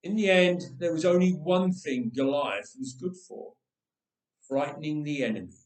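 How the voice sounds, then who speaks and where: Animated male storyteller in an echoey room. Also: fairly high pitch. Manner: dramatic pauses and emphasis, drawn-out phrases. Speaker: story reader. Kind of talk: expressive read-aloud storytelling. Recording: echoey room, distant microphone.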